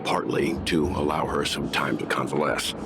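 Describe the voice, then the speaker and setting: A man voicing a composed, courteous older mentor in a video game, with a gravelly voice and measured, formal speech. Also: fairly even intonation, heavy background noise. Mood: calm, matter-of-fact. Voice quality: very deep, gravelly. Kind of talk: English video game voice acting.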